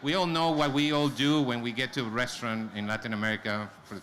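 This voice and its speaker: A man presents at a conference in an informal way, addressing the audience directly. His voice is bright and forceful, at a middling pitch. Faint noise from the event space sits behind him. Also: fairly even intonation, fast. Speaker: conference presenter, company vice president. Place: conference stage before an audience. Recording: clear background noise, live event room.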